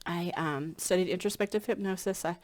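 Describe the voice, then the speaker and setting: Woman, lowish voice, congested, slightly scratchy, casual vlog chat, rambling with ums. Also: fairly quick, a little mellow. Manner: rambling, many ums and restarts. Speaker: spiritual hypnotherapy practitioner.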